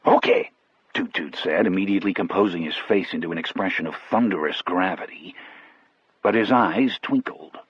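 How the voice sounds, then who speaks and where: A male audiobook narrator with a low, husky voice tells a first-person story. The delivery is measured and wry. The sound is very dry and a little muffled. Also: no room sound.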